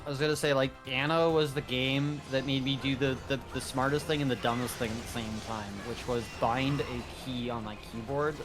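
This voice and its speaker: A man's voice: mid-range voice, steady tone, a little fast, hardly any pauses, music in the background. A man chatting casually and a bit ramblingly as co-commentator on a game stream. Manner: rambling, frequent 'like'. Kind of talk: casual chat.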